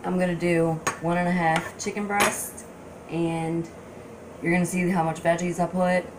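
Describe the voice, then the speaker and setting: A young woman talks in a friendly, instructive way as she cooks, her voice sitting on the lower side. She is picked up by a camera on the counter in a home kitchen, with a faint hum of room noise behind her. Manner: chatty, friendly, instructive with small restarts. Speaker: cooking vlogger. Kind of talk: casual cook-along talk to camera. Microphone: camera on counter a few feet away.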